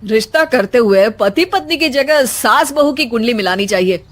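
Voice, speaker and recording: A woman's voice: a little high, animated, normal speed. A woman voicing a fed-up, wry young husband complaining in a comic family story. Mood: wry complaint.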